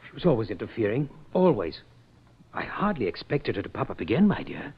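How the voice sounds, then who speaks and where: An actor playing a fussy, courteous and easily flustered gentleman, hesitant and theatrical, in an old radio drama. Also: mid-range voice, sing-song, fairly quick, husky, heavily acted. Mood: startled, exasperated. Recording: muffled old radio recording.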